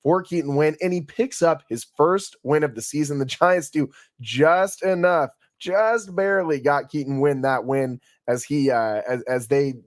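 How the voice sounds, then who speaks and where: A man hosting a sports podcast, hoarse from yelling the night before, talking through a game recap in a conversational way with frequent restarts. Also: a little high, dry recording, studio quality. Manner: conversational, stumbles and restarts often. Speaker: podcast host. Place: home studio.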